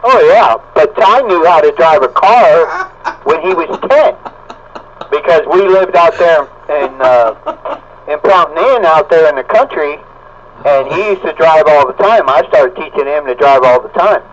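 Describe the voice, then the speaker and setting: Middle-aged US male, higher-pitched, blunt folksy CB radio chatter, lo-fi muffled signal. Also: big pitch swings, unhurried. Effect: CB radio transmission. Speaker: amateur CB radio operator. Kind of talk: casual radio chat.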